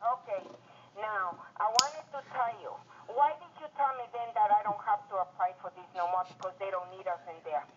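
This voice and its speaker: A woman's voice: medium pitch, brisk, background noise. A woman from Ecuador with a Spanish accent and Spanish as her first language, indignant and insistent, repeating her questions on a phone call. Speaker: call recipient. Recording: muffled recorded phone call.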